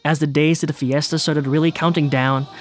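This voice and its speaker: A man's voice: a little high, little pitch movement, a little fast, soft background music. A man narrating his own documentary story in a wry, self-deprecating way. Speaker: host and narrator.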